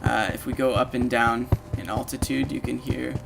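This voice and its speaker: A man with a mid-range voice presents a casual video walkthrough. He explains in an informal way, speaking directly to the listener as the player. Loud video game music plays under his voice throughout. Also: medium pitch, fairly even intonation, moderate pace, vocal fry. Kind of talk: casual walkthrough narration of a software demo. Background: video game music and sound effects.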